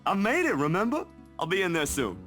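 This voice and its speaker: Friendly young man, read by a woman, visual novel dialogue, casual folksy delivery, animated, music clearly underneath. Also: lowish voice, fairly quick. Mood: easygoing. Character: easygoing, friendly young man Zach, amateur filmmaker.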